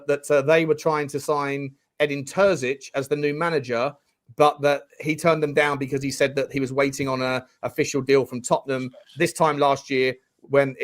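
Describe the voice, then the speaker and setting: A man co-hosting a football news show, talking bluntly with casual banter. His voice sits a touch high for a man. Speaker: co-host.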